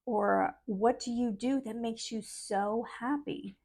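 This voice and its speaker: A middle-aged woman with a soft voice, talking earnestly and casually to camera as a spiritual vlogger. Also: a little high, steady tone, takes it slowly. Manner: earnest, chatty, laughs between thoughts.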